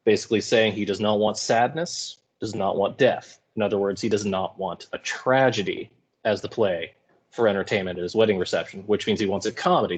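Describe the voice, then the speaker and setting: A man giving an informal lecture as a high school English teacher, explaining in colloquial paraphrase. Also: slightly rough.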